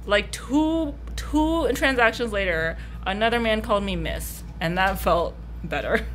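Young woman artist, tired, slightly hoarse, rambly and casual, laughs between thoughts. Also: medium pitch, lively intonation, unhurried, faint music behind, low-quality recording. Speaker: artist vlogger. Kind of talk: casual vlog chat. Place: hotel room and convention hall.

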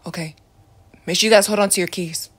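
Woman playing a calm bossy teen boy, lower voice, flat and dismissive. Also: fast, breathy edge, close to an everyday voice. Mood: dry. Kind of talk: ASMR roleplay.

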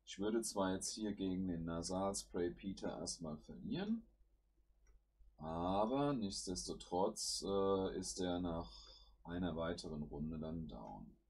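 A man's voice: sits low, expressive, takes it slowly, a little mellow, breathy edge. A man with a cold, hosting a gaming channel and thinking aloud in casual commentary with plenty of fillers as he plays. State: has a cold.

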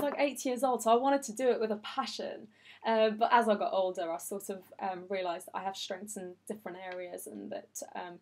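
A woman's voice: mid-range voice, a little mellow. A young woman from Iran who grew up in Sweden, answering viewer questions in a chatty, earnest vlog from her office. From Iran, raised in Sweden. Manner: chatty and earnest, many fillers. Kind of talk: casual chat.

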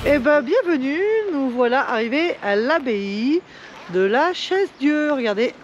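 A woman's voice: sits high, animated, a little slow, soft background music. A woman hosting a family travel vlog, chatting casually outdoors into a handheld camera. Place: outdoors in a town square. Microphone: camera held at arm's length. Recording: dull outdoor camera sound, crowd noise behind.

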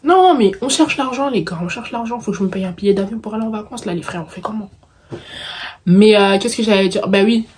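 A woman's voice: mid-range voice, a little fast, darkish tone, slightly rough. A woman in her early twenties with a Parisian suburban French accent chats casually and playfully to camera in slangy speech, tossing in English catchphrases. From Paris suburbs, France; of Congolese descent. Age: early 20s. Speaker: vlogger answering viewer questions.

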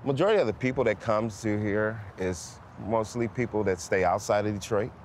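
A man's voice: fairly low pitch, brisk, a little mellow, a little hiss or hum. A man being interviewed outdoors among old factory buildings, speaking plainly and easily. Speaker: local guide. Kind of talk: interview conversation. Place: outdoors in a derelict industrial area.

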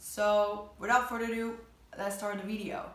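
A woman's voice: mid-range voice, little pitch movement, moderate pace, background noise. Young Italian woman vlogger, roomy sound. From Italy. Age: 20s. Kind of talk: casual reaction commentary to camera. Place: small bedroom indoors, sitting on bed. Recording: roomy, echoey bedroom sound.

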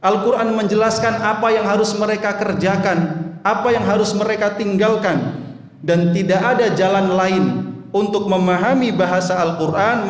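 A young man from Indonesia giving a Friday sermon at a lectern in a mosque, speaking into a handheld mic in a measured, earnest preaching manner. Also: sits high. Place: mosque hall, indoors. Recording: echoey mosque hall with long reverb.

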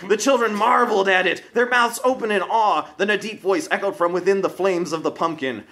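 A man narrates a comic story in a high, forceful, bright voice. His delivery is scripted and theatrical, that of a dramatic storyteller. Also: fairly quick.

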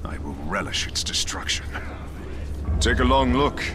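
An actor playing a grave, resolute rebel warrior in a video game, speaking in a solemn, declamatory way. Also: male voice, fairly low pitch, sing-song, unhurried, over music. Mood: grim, vengeful. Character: grave, resolute human rebel warrior fighting dark oppressors. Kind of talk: dramatic video game acting.

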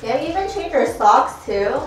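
A woman's voice: high-pitched, sing-song, normal speed, far from the mic. A woman chatting casually in a vlog about a home project, with a teasing, bantering manner. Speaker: vlogger filming a home DIY project. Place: home garage gym, indoors. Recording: echoey garage, off-mic, loud music.

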